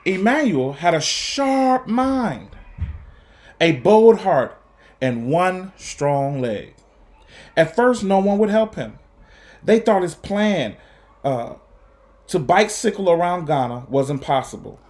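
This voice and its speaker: Expressive man reading a storybook aloud. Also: high voice, slow, long pauses. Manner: earnest, encouraging. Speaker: guest reader for students.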